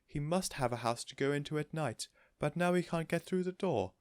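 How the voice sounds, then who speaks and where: Storybook lady neighbour, male reader: high, shrill, gushing, excitable and adoring. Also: fairly quick, no room sound, clean studio sound, lightly acted. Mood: fretting. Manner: shrill, exclamatory, effusive. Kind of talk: storybook character voice.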